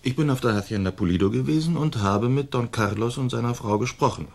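A man's voice: medium pitch, fast, creaky. An actor in a German radio play, playing a languid, dutiful young nobleman who talks in a formal, plaintive way. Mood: reluctant. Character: languid young nobleman, peace-loving, dutiful, weary son.

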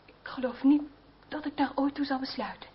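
Woman playing an impulsive young noblewoman, high-pitched. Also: fairly quick, close to an everyday voice. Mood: earnest. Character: young noblewoman, impulsive, tender, pleading niece. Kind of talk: Dutch radio play acting. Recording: dull, very noisy old radio recording.

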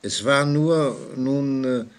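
An actor playing a calm, patronizing prison inspector in a crime radio play, speaking formal in a measured, deliberate way. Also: male voice, medium pitch, clean tone, natural voice. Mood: patronizing, reassuring.

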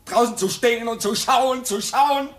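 A man's voice: very high voice, normal speed, fairly bright tone. An actor playing a restless, resentful man in his twenties in a 1950s German radio drama, with a clipped, insistent delivery. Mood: bitter, agitated. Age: 20s. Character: restless, resentful young man of twenty-five at polar station.

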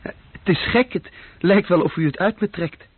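A man's voice: sits high, measured pace, breathy edge. An actor playing an earnest, slightly awkward young office worker courting a girl in a radio drama. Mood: defensive, confiding. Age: young adult. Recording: narrow-band old radio play recording, very dry.

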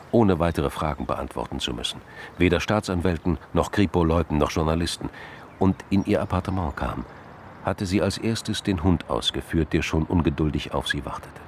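Low-voiced man narrating a crime drama. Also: moderate pace, slight background noise.

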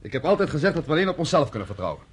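Fiery hardline leader in a radio play, highish husky voice, talks fast. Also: male voice, sing-song. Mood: hard, indignant. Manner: vehement, emphatic. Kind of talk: Dutch radio play acting.

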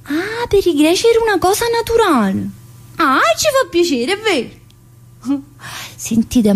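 An actress playing an earthy, wisecracking woman in prison, chatty and crude and swinging from loud outbursts to low muttering. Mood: cheeky. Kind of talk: stage monologue.

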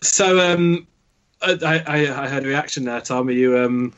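A man's voice: mid-range voice, moderate pace. A man from Yorkshire with an English accent, hosting a casual sports podcast with self-deprecating jokes and plenty of ums. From Yorkshire, England. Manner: jokey, self-deprecating, filler-heavy. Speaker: podcast host. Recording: dull lo-fi sound.

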